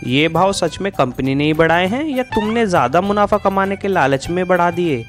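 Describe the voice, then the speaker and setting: A man's voice: high voice, lively intonation, moderate pace. A man acting a pushy, suspicious cartoon customer. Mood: indignant. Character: suspicious, grumbling male office-going customer, pushy. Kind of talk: Hindi moral-story cartoon dialogue. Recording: music behind the voice.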